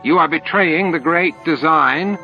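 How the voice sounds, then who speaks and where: A man's voice: fairly high pitch, unhurried, voice pushed up. A man voicing a flustered, anxious male alien underling in an audiobook, gasping, pleading and indignant. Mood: appalled. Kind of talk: audiobook character acting. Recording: dull lo-fi tape with music.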